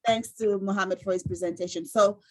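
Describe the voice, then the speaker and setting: A woman with a medium-pitched voice and a Sierra Leonean accent talks on a video call. She introduces herself to a class in a measured, articulate way. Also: fairly even intonation, darkish tone. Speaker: workshop participant.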